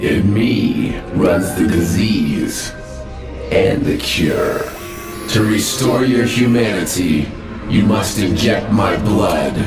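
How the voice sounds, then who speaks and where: Slow, deep, echoing alien prophet. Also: male voice, animated, music in the background. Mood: bitter, intense. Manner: grave, slow, portentous delivery. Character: ominous, suffering alien prisoner, solemn prophetic mentor. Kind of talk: dramatic video game voice acting.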